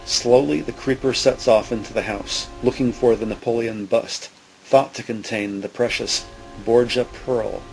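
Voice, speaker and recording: A man narrating a scripted audio drama in a measured, dramatic manner. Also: mid-range voice, fairly even intonation, gravelly, a little mellow, soft background music, low-quality recording.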